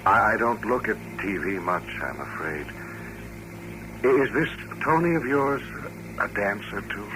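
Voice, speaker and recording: An American actor playing a lonely, quietly controlling mutant suitor in a 1950s radio drama, hesitant yet insistent and coaxing. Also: male voice, fairly low pitch, lively intonation, a little slow. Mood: hesitant, probing. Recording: noisy vintage radio broadcast recording.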